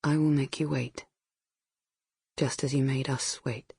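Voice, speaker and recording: An actress playing an older Brazilian mother in an audiobook, intimate and deliberate, wistful with quiet reproach. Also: a bass voice, steady tone, gravelly, slightly rough, no room sound, clean studio sound, full character voice. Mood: quietly bitter. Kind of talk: audiobook narration in character.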